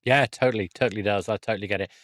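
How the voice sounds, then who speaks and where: Low-voiced man hosting a dry-sounding podcast. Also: rapid-fire, fairly bright tone, no echo. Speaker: podcast host and interviewer. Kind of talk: casual podcast conversation.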